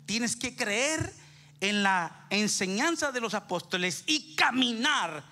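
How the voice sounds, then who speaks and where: A man's voice: extremely high pitch, expressive, takes it slowly, pushed and bright. A man preaches emphatically, telling stories and asking rhetorical questions in short phrases left open for an interpreter. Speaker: preacher, apostle. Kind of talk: animated Spanish sermon delivered in short phrases for an interpreter. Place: large church auditorium before a congregation. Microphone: handheld microphone. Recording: live church PA with background noise.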